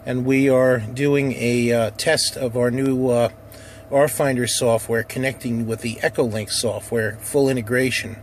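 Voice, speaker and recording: A man's voice: medium pitch, fairly even intonation, unhurried. Casual man demoing gear in a noisy car. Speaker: amateur radio operator demonstrating software. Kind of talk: casual demo narration and radio call-outs. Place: inside a car at night. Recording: noisy car interior, handheld camera. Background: vehicle noise.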